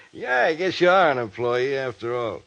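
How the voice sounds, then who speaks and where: A friendly factory superintendent in a vintage radio drama. The voice is mid-pitched, easygoing and homespun. He talks in a plain, folksy way. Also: big pitch swings, moderate pace, creaky, a bit bright, highs cut off. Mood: genial. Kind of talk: 1940s radio acting.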